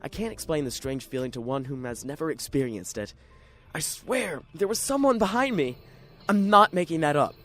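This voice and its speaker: A performer plays an excitable library regular narrating a radio drama in the first person. The delivery is dramatic and self-questioning, with a very animated pitch that rises and falls sharply. Music plays behind the voice. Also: normal speed, natural voice. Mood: agitated. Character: jittery, excitable library regular narrating his own strange chase.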